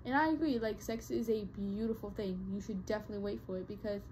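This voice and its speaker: A woman's voice: medium pitch, fast, a little mellow, a little hiss or hum. A young woman vlogger chatting casually to her viewers, rambling with lots of fillers and restarts. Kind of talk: casual talk to camera.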